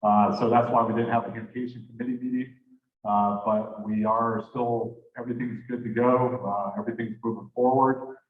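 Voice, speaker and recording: A man's voice: medium pitch, little pitch movement, a little fast. A man making casual announcements at a club meeting, talking in a loose, conversational way and correcting himself as he goes. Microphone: handheld microphone held at a distance. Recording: tinny, echoey room from far off.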